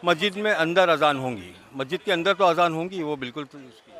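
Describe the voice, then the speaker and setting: A man's voice: a little high, expressive, fairly quick, a bit bright. A man speaking as a religious community spokesman, delivering a formal announcement.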